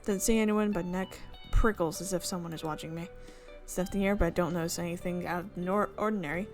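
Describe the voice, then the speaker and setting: A woman's voice: fairly low pitch, steady tone, fast, soft background music. A woman hosting a gaming video, reading a visual novel's narration aloud and often stopping to correct her slips. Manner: reads narration with frequent slips and self-corrections.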